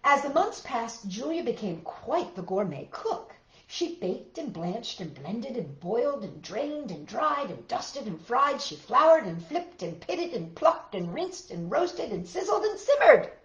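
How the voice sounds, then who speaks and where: A woman's voice: fairly high pitch, very animated, measured pace. A woman reading a picture book aloud to children with dramatic emphasis and chatty asides to her listeners. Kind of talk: expressive children's read-aloud. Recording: roomy, echoey room sound.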